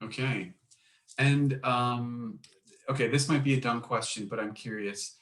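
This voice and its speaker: A man's voice: mid-range voice, steady tone, measured pace, fairly bright tone. A man interviewing a guest, asking curious questions, apologizing and restarting his sentences as he clarifies. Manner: apologetic, curious, repeats himself while questioning. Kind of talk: conversational interview. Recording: echoey room.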